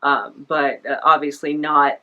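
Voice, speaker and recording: Low-pitched woman, chatty podcast host. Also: fairly even intonation, a little slow, bright, forceful tone, lo-fi sound. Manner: rambling, self-deprecating. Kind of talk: casual chat.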